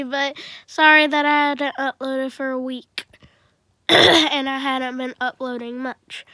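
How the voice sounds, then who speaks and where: Casual female game reviewer, high and unhurried, stuffy with a cold, rambling and throat-clearing. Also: a bit bright, no echo. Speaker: channel host reviewing a music game.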